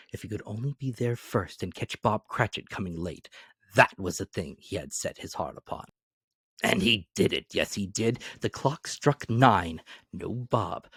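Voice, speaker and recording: A man narrating a classic novel as a dramatic reading, expressive and animated. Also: fairly low pitch, husky, no room sound, clean studio sound.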